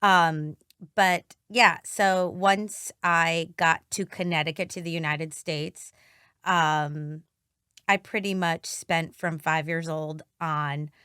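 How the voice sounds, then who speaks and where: Slow-talking woman from Argentina. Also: low voice, fairly even intonation, sharp tone, clear, resonant tone, no echo, studio quality. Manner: unhurried, easygoing storytelling. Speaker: guest, choreographer. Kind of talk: casual interview.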